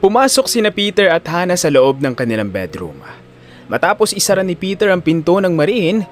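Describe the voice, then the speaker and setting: A man's voice: on the high side, slight background noise. A man with a Filipino accent reading scripted, dramatic story narration.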